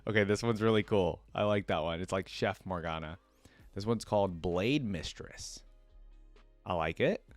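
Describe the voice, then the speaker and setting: A man's voice: deep voice, moderate pace, dry recording, faint music behind. A man hosting a reaction video, commenting casually and informally, thinking aloud as he browses and reads.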